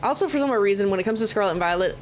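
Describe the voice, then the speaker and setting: A woman's voice: mid-range voice, animated, rapid-fire. An American woman rambling casually and informally as she livestreams a video game, sounding a bit tired. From Oklahoma, United States. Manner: rambling, informal, occasional swearing. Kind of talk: casual chatty gaming stream commentary. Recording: noticeable background noise behind the mic.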